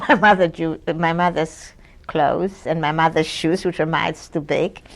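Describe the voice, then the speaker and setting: Hesitant Polish-born woman with a gravelly voice. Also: deep voice, fairly even intonation, a little slow. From Poland. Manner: hesitant, searching for words with many ums and restarts. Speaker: interviewee. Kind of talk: oral history testimony. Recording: 1980s audio cassette interview.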